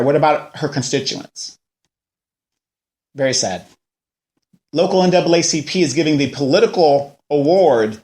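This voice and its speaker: A man's voice: fairly high pitch, darkish tone. A man in his forties hosting a local news show, ranting in a casual way and firing off rhetorical questions. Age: 40s. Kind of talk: casual political commentary.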